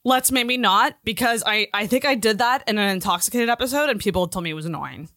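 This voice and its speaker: A woman's voice: fairly high pitch, quick pace, a bit bright, dry recording, studio quality. A woman co-hosting a podcast, chatting casually in an informal, sweary way. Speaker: podcast co-host. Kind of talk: casual chat.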